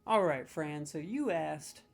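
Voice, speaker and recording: A man's voice: on the high side, expressive, slow pace, creaky, soft background music. A man hosting a comedic video, ranting sarcastically with mock outrage as he scores game voice lines.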